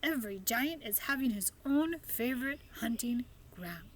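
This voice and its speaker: A woman's voice: a little high, expressive, normal speed, a little hiss or hum, close to an everyday voice. A woman voicing a big friendly male giant in a storybook, with garbled folksy grammar and made-up words. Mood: matter-of-fact.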